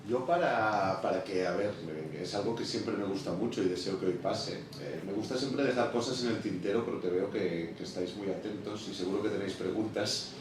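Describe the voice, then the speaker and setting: A man's voice: lowish voice, a little fast, hardly any pauses. A man hosting a public interview, asking a publisher questions and dropping short supportive interjections. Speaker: interviewer and event host. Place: bookshop event room. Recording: echoey hall, distant mic, noisy.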